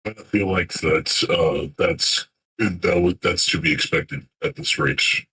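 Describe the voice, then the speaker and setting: A man with a husky, rough voice chatting casually as a player in a tabletop game. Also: low voice, takes it slowly, pushed and bright, poor audio.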